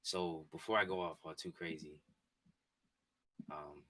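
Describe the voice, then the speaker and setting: A man in his twenties chatting casually with his live-stream viewers from a home setup, excitable and repetitive. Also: very deep voice, measured pace. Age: 20s. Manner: excitable, repetitive, talks straight to chat. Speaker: sports streamer. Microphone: desk mic and headphones.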